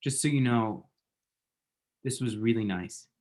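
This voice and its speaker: A man acts out a self-deprecating, smitten young man in a romantic comedy script. He speaks at an ordinary male pitch, awkward and halting, in a naturalistic table-read style. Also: darkish tone, natural voice. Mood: regretful.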